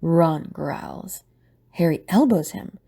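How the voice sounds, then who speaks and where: A woman narrator tells a scripted audio play. Her voice is mellow and warm, with a very animated rise and fall. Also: sits low, takes it slowly, creaky, breathy edge.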